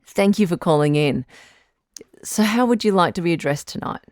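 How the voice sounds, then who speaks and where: Woman playing a low-voiced, reassuring radio doctor. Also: lively intonation, darkish tone, no room sound, studio quality, natural voice. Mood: welcoming, upbeat. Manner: measured, reassuring, frank. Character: calm, reassuring female doctor hosting supernatural advice show. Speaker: radio call-in host. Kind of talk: audio drama as radio advice show.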